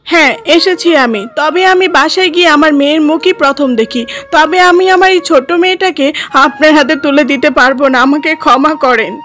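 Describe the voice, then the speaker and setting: A woman's voice: high-pitched, fairly quick, hardly any pauses, a bit bright, soft background music. A woman voicing a humble, anxious woodcutter father in a cartoon folk tale, fretful and pleading.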